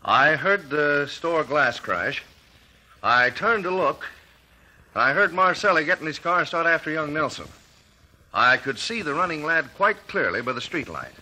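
Courtroom eyewitness, earnest, hesitant, very bright tense tone, animated pitch, old radio drama. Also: male voice, normal speed, exaggerated voice, rough recording. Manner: hesitant, stammering under questioning. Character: earnest male eyewitness, easily flustered, eager to be believed.

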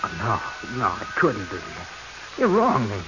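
An actor playing a lovesick, jealous treasure hunter in a 1940s radio drama, earnest and urgent. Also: male voice, on the low side, huge pitch swings, measured pace. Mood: dismayed, urgent. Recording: noisy, muffled 1940s radio recording.

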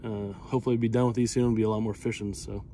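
A man, hosting a casual fishing vlog, talking in a relaxed, rambling way with many fillers, recorded outdoors on a phone held at arm's length. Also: fairly low pitch, fast. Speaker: fishing channel host. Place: outdoors in a driveway by a boat. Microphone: phone camera at arm's length. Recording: outdoor recording with noticeable noise.

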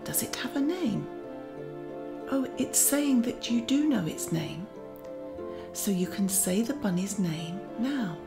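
A woman playing a gentle, kindly magical garden auntie who leads a children's guided meditation in a soothing, often near-whispered voice. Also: mid-range voice, animated, takes it slowly, vocal fry, long pauses. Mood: tender, wondering. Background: music.

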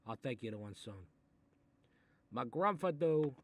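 A man playing a gruff, sarcastic Italian-American mob boss in a comic monologue, exasperated and muttering threats as he reads a picture book aloud. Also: mid-range voice, lively intonation, measured pace. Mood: grudging, exasperated. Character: short-tempered, sarcastic Italian mob boss babysitting a kid. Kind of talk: comic improvised character monologue.

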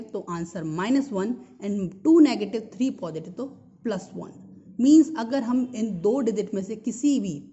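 A woman's voice: mid-range voice, warm tone, a little hiss or hum. A woman with an Indian accent teaching a physics lesson, explaining didactically, repeating key terms and asking rhetorical questions. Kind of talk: explanatory school lesson mixing Hindi and English. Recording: dull lo-fi sound.

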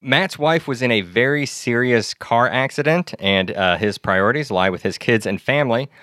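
A man hosting a tabletop game podcast as dungeon master, chatting easily and slowing into measured narration. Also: mid-range voice, fairly bright tone, no echo, clean studio sound. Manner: easygoing, measured, slows down when narrating. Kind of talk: casual chat and game narration.

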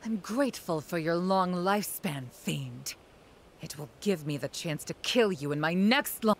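A woman's voice: lowish voice, expressive, a little slow, slight background noise. A woman voicing a proud, stern young female general in a video game, formal and commanding in manner. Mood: defeated, bitter.

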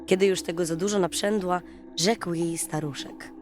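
A woman narrating a scripted fairy tale for children at bedtime, in an expressive storytelling manner. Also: lowish voice, moderate pace. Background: music.

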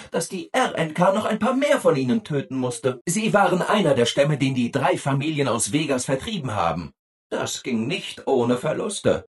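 Husky, relentlessly cheerful robot assistant. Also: male voice, medium pitch. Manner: chipper, over-polite, eager to agree. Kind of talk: video game character acting.

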